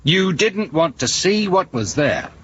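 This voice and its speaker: An insistent, accusing police inspector in a 1970s radio drama. His pitch moves freely as he presses his case, on a slightly muffled recording with faint noise. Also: very animated, a little slow, a bit bright. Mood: indignant. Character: dogged, indignant male East German police inspector. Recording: dull, lightly noisy 1970s radio recording.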